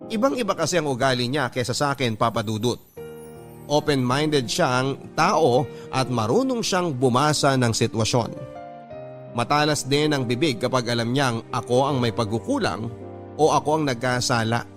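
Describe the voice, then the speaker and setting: A man's voice: mid-range voice, animated, moderate pace. A man with a Filipino accent reading a listener's letter as scripted, dramatic radio narration. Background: music.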